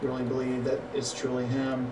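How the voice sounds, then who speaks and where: A middle-aged man giving interview answers as an expert, phrasing things haltingly and thoughtfully. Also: medium pitch, completely flat delivery, roomy sound. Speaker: retired law enforcement agent as interviewee. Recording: distant, noisy broadcast monitor feed.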